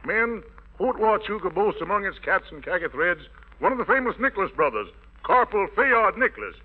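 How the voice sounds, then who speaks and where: A man's voice: fairly high pitch, narrow, tinny audio. An American man hosting a 1940s radio variety show in showy, playful jive patter, on an old recording. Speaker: master of ceremonies.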